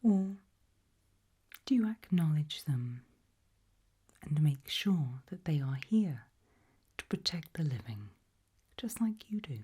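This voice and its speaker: A British woman whispering an ASMR roleplay in a breathy voice, putting slow, deliberate questions with long pauses. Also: low-pitched, sing-song, warm tone.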